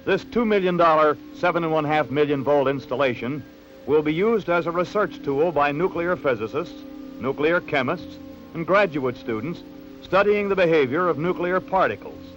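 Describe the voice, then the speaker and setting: American male newsreel narrator, slightly high voice, polished scripted delivery, 1960s soundtrack, music behind. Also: normal speed, low-quality recording. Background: background music.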